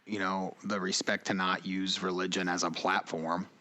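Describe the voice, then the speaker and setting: Low-voiced laid-back young man. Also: level tone, normal speed, bright, forceful tone. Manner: laid-back, rambling, swears casually. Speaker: podcast co-host. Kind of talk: casual chat.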